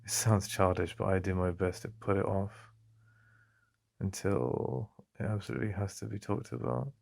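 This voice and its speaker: Soft, intimate English boyfriend voice, very low, heavy fry, halting delivery. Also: male voice. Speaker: ASMR roleplay host. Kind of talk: boyfriend ASMR roleplay.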